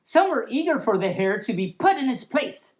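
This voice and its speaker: A woman's voice: a little high, big pitch swings, normal speed, a little mellow. A woman reading a humorous story aloud as host, playful and giggly, breaking off for casual asides. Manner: playful, giggly, stumbles over words and riffs on them.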